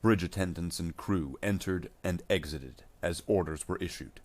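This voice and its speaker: A man with a low-pitched voice serves as narrator for a science fiction tale. He reads from a script in a measured, dramatic storytelling style, keeping to his own voice throughout. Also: darkish tone. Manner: measured storytelling delivery without character voices.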